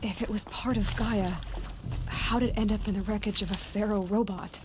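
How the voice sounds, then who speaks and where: A woman's voice: medium pitch, steady tone, normal speed, very noisy, natural voice. An actress playing a curious, determined young woman hunter in a video game, musing aloud and full of questions. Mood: puzzled, searching.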